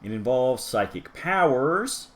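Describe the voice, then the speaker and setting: A man's voice: mid-range voice, very animated, takes it slowly. Chatty man rambling through a review. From United States. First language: English. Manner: chatty and rambling, with asides to the viewer. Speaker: manga review host. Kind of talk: casual solo review talk.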